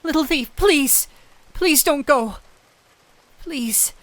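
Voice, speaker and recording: A woman playing a gentle, anxious doctor in an audio roleplay, speaking earnestly and haltingly to the listener over rain. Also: sits high, no room sound. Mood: desperate pleading. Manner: earnest, hesitant, pleading with broken phrases. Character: gentle, anxious human doctor pleading with a small cat-thief. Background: rain ambience.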